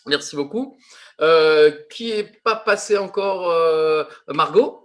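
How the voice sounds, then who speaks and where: A man's voice: on the high side, takes it slowly, fairly bright tone. A man teaching a teen improv class over a video call, teasing the students with lots of laughs and fillers.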